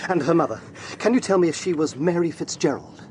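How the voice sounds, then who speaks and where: An actor playing an earnest young lawyer in a period audio drama, formal and dramatic. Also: male voice, fairly high pitch, lively intonation, fairly quick, darkish tone, a little hiss or hum, lightly acted. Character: earnest young eighteenth-century English lawyer.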